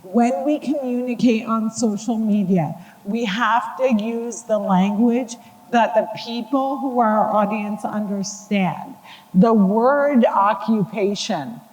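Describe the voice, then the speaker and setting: A woman speaking on a town hall panel in a measured, emphatic way. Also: a little high, a little mellow. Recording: hall reverb.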